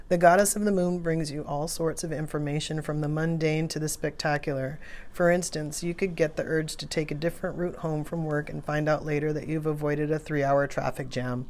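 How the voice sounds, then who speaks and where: A woman's voice: low-pitched, a little mellow. A woman hosting an oracle card reading in an informal, musing, conversational way. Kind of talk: casual rambling card reading, partly read aloud from a guidebook.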